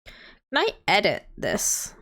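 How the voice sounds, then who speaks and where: A woman's voice: medium pitch, huge pitch swings, slow, gravelly, pushed and bright. Streamer, female, 30s; casual, chatty, greets viewers by name. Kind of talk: casual live-stream chat while playing a city-building game.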